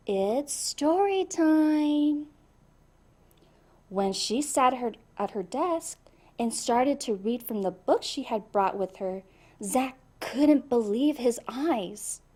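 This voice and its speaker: Woman storybook reader for kids: high voice, unhurried pace, expressive delivery. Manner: expressive, addresses child listeners. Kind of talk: animated children's read-aloud.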